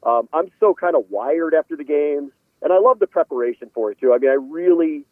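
A man's voice: a little high, brisk, dry recording. A man speaking as a guest sports announcer in a casual radio interview, over a thin phone-like line.

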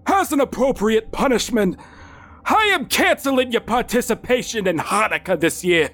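A man voicing a stern, bearded older father who speaks in slow, solemn pronouncements broken by coughs and hesitations. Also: very high-pitched, animated, fairly bright tone, voice pushed up. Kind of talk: audiobook character voice.

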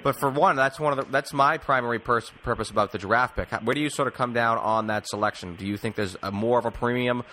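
A man's voice: medium pitch, fast talker, hardly any pauses, pushed and bright, slight background noise. A man hosting a casual sports podcast, rephrasing as he circles toward long, rambling questions.

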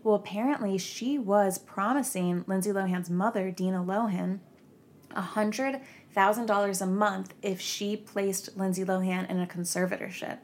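A woman's voice: medium pitch, fairly even intonation, moderate pace. A young woman hosting a podcast, chatting casually and gossiping, with lots of "like" fillers. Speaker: podcast host.

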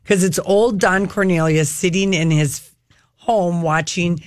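A woman's voice: lowish voice, fairly even intonation, unhurried, dry recording, studio quality. An enthusiastic woman hosting a casual talk show, chatting about television.

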